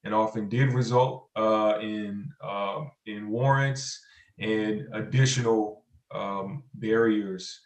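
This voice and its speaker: Male program director on a panel. Roomy sound, lowish pitch, halting and measured. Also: steady tone. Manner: hesitant, halting, measured phrasing. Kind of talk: explaining advocacy work on a live panel.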